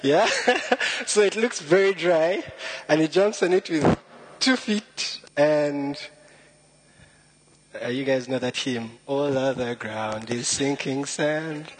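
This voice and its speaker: A man's voice: on the high side, slow pace, husky. A young man from Rwanda, a little nervous, giving a chatty, joking talk full of puns and self-deprecating humor. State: a bit nervous. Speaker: guest speaker. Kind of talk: informal storytelling talk.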